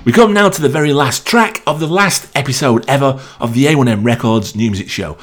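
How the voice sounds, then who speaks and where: A man's voice: mid-range voice, brisk. A man hosting a new music radio show, announcing tracks and reading out a countdown in an upbeat way.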